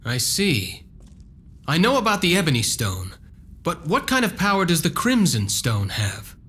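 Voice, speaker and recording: Earnest, higher-pitched young game hero. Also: male voice, huge pitch swings, unhurried, bright, forceful tone, full character voice. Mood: questioning. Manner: earnest, dramatic. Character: earnest young male hero, a vampire hunter. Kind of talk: video game cutscene acting.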